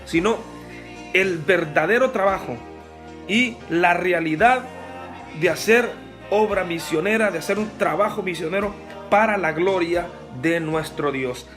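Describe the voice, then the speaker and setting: A man's voice: high voice, a little slow, over music. A man hosting a church interview, asking questions formally with frequent pious interjections. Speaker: interviewer and host.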